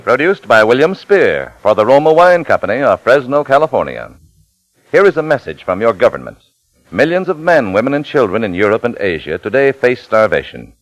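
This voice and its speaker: 1940s radio announcer guy, smooth salesy read, lively pitch, dry close sound. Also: a little fast, no echo, low-quality recording. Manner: smooth, persuasive, emphatic.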